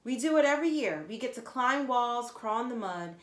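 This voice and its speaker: A woman voicing boastful, excitable schoolchildren in a storybook, eager and emphatic, in an echoey room. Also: a little high, brisk, voice pushed up. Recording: echoey, roomy sound.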